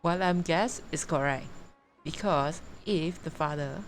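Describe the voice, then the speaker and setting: A woman's voice: deep voice, unhurried, slight background noise. Tentative woman game streamer. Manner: tentative, thinking aloud. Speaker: game livestreamer. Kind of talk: casual live game commentary. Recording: game music behind the voice. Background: game music.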